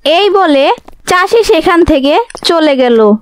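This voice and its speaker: A woman's voice: extremely high pitch, lively intonation, takes it slowly, slight background noise. A woman storyteller reading scripted narration for a children's animal tale.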